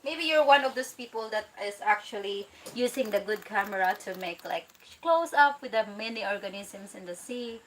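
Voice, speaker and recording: A woman from the Philippines hosting a casual livestream, chatty and excitable, reading out viewer comments and laughing easily. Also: mid-range voice, normal speed, hardly any pauses, a little hiss or hum, poor audio. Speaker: livestream host.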